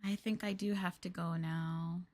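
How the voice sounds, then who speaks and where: A young American woman streaming in a soft-spoken ASMR maid roleplay, calling her listeners master and speaking of herself as the maid. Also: fairly low pitch, fairly even intonation, measured pace. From United States. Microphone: close ASMR microphone.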